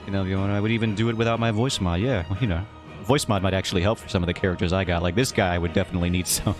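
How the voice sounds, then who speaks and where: A man's voice: sits low, fairly even intonation, racing. A man streaming while he draws his webcomic, who rambles in a casual, self-mocking and jokey way. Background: faint background music.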